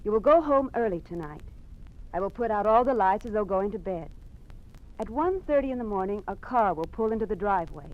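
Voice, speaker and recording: A woman's voice: medium pitch, expressive, brisk, lightly acted. An actress playing an anxious, devoted young woman in an old-time radio drama, speaking earnestly and carefully on a muffled, noisy old recording. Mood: tense, obedient.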